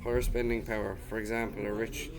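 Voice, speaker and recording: A man's voice: on the low side, little pitch movement, fast, a crowd in the background. A man giving a scripted presentation as a guest speaker, reading it aloud.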